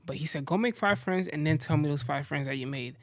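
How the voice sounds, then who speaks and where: A young man from Central Florida talking as a guest in a casual chat, relaxed and slangy, laughing easily. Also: a little high, quick pace, no room sound. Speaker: guest content creator.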